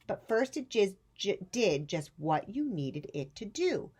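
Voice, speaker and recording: A spirited old great-great-aunt from a children's storybook, voiced by a woman reader. She talks emphatically and encouragingly, with big swoops of pitch lifted well above the reader's plain narration. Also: big pitch swings, measured pace, a little mellow, breathy edge. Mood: jubilant, encouraging. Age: older. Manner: exuberant, emphatic. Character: elderly great-great-aunt, spirited, encouraging former airplane builder. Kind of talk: children's storybook character reading.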